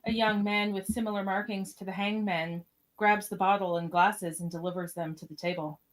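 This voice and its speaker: A woman narrates an amateur radio drama from a script. Her voice sits at an average pitch for a woman as she reads the scene directions. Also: fairly even intonation, moderate pace, low-quality recording. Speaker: narrator of a podcast radio play.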